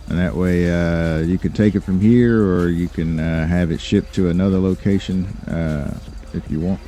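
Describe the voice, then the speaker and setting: Low, mellow, businesslike crime boss played by a man. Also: fairly even intonation, moderate pace, lightly acted. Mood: calm, businesslike. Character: polite businesslike male lawyer and underground crime boss. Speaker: gamer playing online roleplay. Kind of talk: improvised in-character roleplay chat.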